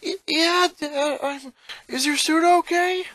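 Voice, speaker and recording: Storybook role: shaken young boy, played by a man, raised pitch, hesitant stammer, dry close sound. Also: steady tone, slow, fairly bright tone, no room sound, heavily acted.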